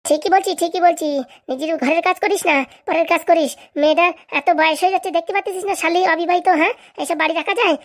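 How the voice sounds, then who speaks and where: Woman acting a bossy wife, comic cartoon, very fast, high-pitched, accusatory, speech. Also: steady tone. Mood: scolding, contemptuous. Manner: scolding, accusatory, rapid-fire haranguing. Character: bossy, nagging, domineering wife of a village politician.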